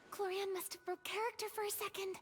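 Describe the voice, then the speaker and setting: An actress voicing a small, excitable fairy-like companion in a video game, often exasperated and quick to comment. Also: female voice, very high-pitched, faint music behind.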